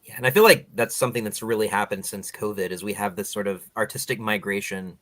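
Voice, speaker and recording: A man's voice: lowish voice, fast talker, a bit bright, dry recording. A man hosting a podcast, talking with guests in a casual, conversational interview style.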